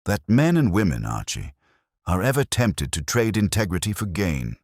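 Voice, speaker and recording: A man's voice: low voice, very animated, normal speed, a little mellow, no echo, studio quality, voice pushed up. A man voicing a pompous, brilliant private detective who speaks in formal, grand pronouncements in an audiobook story. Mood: solemn pronouncing.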